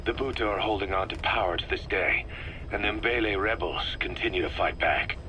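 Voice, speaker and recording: An actor playing a serious, worldly military intelligence officer, giving a measured, grave briefing in a video game. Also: male voice, fairly low pitch, bright, forceful tone, a little hiss or hum, rough recording. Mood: sober, explanatory.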